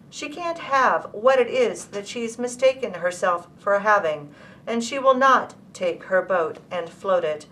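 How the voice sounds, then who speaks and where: A woman poet reads her own verse in a bright, forward voice at a middle pitch. Her delivery is very slow and deliberate, with each phrase set apart. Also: a little hiss or hum, low-quality recording. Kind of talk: poetry reading of own work.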